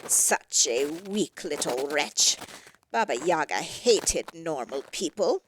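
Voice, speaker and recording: Slow, animated woman storyteller. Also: low-pitched, background noise. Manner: expressive storytelling with deliberate emphasis. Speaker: story narrator. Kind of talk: scripted fairy tale narration.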